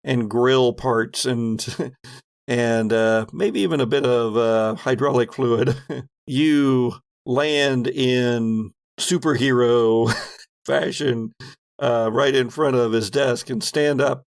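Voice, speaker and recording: A man narrating a tabletop roleplaying game as game master, telling the scene in a halting, deliberate way. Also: mid-range voice, creaky.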